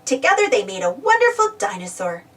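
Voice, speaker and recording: A woman narrating a children's story aloud in an animated read-aloud style. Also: a little high, brisk, far from the mic. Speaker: storybook reader.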